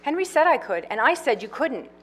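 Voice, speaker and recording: A woman's voice: sits high, very animated, a little fast, a bit bright, breathy edge, voice pushed up, full character voice. A woman voicing a stern, bossy older sister who scolds in clipped lines. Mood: scolding.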